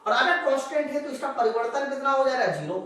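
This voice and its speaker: A man's voice: extremely high pitch, fast talker, fairly bright tone. Very reverberant room, distant mic, male coaching teacher, conversational question-and-answer delivery, speaks straight to students. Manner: conversational, asks and answers own questions, addresses students directly. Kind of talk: live classroom-style lesson, working through physics problems. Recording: very echoey room, distant microphone.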